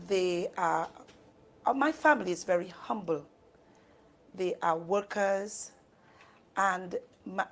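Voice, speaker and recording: Husky-voiced woman interpreter. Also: lowish voice. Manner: measured, halting translation. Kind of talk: live interpretation.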